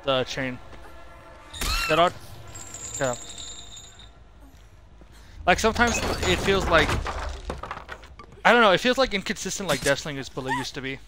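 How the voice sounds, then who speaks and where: A man's voice: fairly high pitch, lively intonation, measured pace, long pauses, over music. A young man giving casual, rambling, opinionated live commentary as he streams a video game. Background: horror video game sound.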